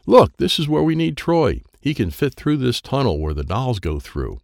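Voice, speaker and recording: Man as a bossy big brother, animated. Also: medium pitch, moderate pace, vocal fry, no echo, clean studio sound, voice pushed up. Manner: commanding.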